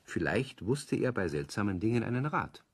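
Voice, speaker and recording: A man narrating a scripted German children's radio play as its storyteller, with a husky voice. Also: lowish voice, very animated, normal speed, exaggerated voice.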